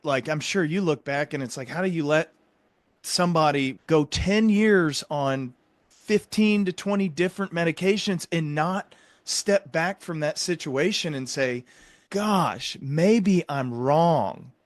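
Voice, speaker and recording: A man hosting an interview, talking earnestly and explaining his points with measured emphasis. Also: a little high, no echo. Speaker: podcast host.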